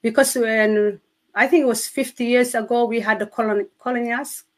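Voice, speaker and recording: A woman with a Somali accent tells her life story as a guest in an interview. Her English is not her first language, so she pauses and searches for words, but she keeps going in an earnest, steady way. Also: on the high side. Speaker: guest sharing testimony. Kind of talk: personal testimony in an interview.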